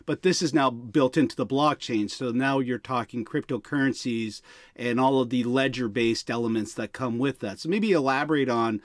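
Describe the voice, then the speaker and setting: A man's voice: mid-range voice, moderate pace, no echo. A man hosting a podcast, putting questions to a guest in a conversational interviewing style. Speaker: podcast host.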